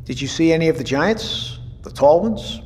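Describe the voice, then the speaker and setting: An actor playing an exacting, fatherly navy captain in an audio drama, speaking in a formal, measured, matter-of-fact way. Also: a little high, animated, close to an everyday voice. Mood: authoritative, calm. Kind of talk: naturalistic audio drama dialogue. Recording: long hall reverb, faint noise.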